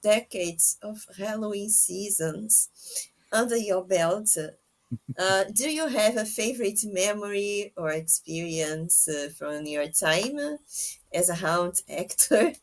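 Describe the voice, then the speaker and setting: Woman, podcast interviewer, slow pace, halting phrasing, casual, medium pitch. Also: animated.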